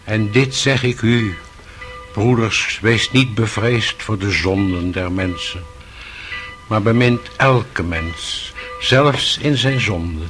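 An actor playing a gentle, devout old monk, preaching in a solemn, measured way in a radio play. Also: male voice, fairly low pitch, over music. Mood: solemn, loving.

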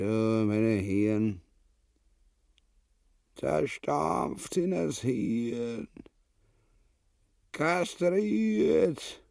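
A blustering, gluttonous brute of a husband in broad Austrian dialect. The words come very slowly in a creaky, rough voice that can swing from mutter to bellow. The sound is close and dry, as in a radio drama studio. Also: male voice, mid-range voice, dark tone, no room sound, full character voice. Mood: agitated bellowing. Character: crude, gluttonous, blustering husband raving about battle.

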